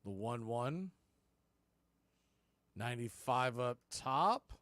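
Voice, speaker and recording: A man's voice: medium pitch, lively intonation, slow, a bit bright, no room sound. An American man calling live baseball play-by-play for radio, in clipped phrases with conversational asides.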